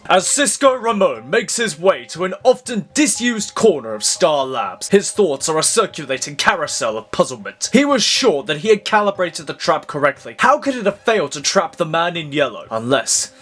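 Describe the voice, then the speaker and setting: A man's voice: a little high, sing-song, normal speed, few pauses, a bit bright. A man delivering dramatic scripted narration as the storyteller of an audio drama.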